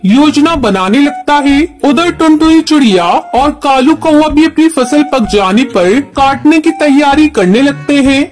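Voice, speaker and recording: A woman narrates a children's cartoon tale from a script. Her voice is high in pitch. Music is clearly present behind the storytelling. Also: animated, a little slow, hardly any pauses, a little mellow.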